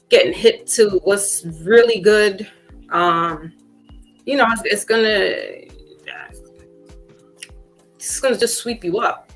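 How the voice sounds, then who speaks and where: A woman in a mid-range voice hosts a relaxed talk, thinking aloud with plenty of ums and you-knows. She sometimes pushes her voice up when making a point, with faint music under her. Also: mid-range voice, poor audio. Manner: reflective, many fillers, rising to emphatic. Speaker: podcast host. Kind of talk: casual conversation.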